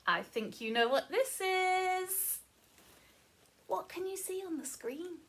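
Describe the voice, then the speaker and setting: A woman reading a story aloud to children as a teacher, explaining as she goes in an expressive, sing-song manner with questions to the listeners. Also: very high-pitched, takes it slowly.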